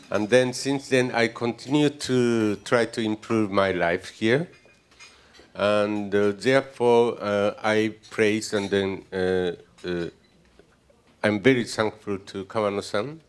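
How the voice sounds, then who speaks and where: An older man giving a halting, joking speech at a gala dinner, with many pauses and fillers. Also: on the low side, clear, resonant tone. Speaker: gala speaker, society president. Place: banquet hall.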